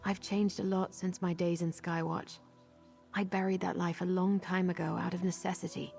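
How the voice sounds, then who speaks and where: A guilt-laden vampire woman from a fantasy game. Her voice is lowish and full of gravelly creak, guarded and subdued in delivery, with faint music behind it. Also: sing-song, normal speed, darkish tone. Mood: wry, devoted. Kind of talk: video game character dialogue.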